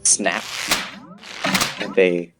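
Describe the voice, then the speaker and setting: A man narrating a tabletop game scene as game master, halting as he searches for imagery. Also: low-pitched, little pitch movement, loud music behind the voice.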